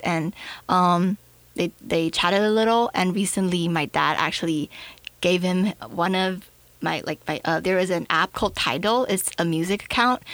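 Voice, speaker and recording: A woman's voice: fairly low pitch, fairly bright tone, dry recording. A young adult woman from China telling personal stories casually as an interview guest.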